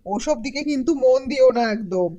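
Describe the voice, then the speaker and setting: A woman's voice: high voice, lively intonation, normal speed, dark tone, dull audio. A woman voicing a suspicious, scolding village wife in a cartoon, with a nagging manner. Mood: jealous warning. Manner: nagging, threatening. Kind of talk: Bengali cartoon voice acting.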